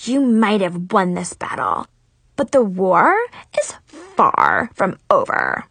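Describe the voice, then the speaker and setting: A woman's voice: huge pitch swings, takes it slowly, vocal fry, fairly bright tone, breathy edge, no room sound, heavily acted. A woman voicing a spoiled, furious teenage mean girl in an audiobook, screechy, haughty and melodramatic. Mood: menacing. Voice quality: shrill, screechy.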